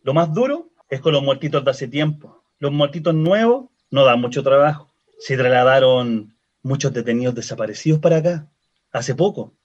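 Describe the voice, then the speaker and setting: A man's voice: mid-range voice, expressive, normal speed, darkish tone, highs cut off, lightly acted. A man with a Chilean accent playing a chatty, teasing cemetery worker in a radio drama. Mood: friendly, weary. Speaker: actor. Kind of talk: radio drama acting, colloquial dialogue.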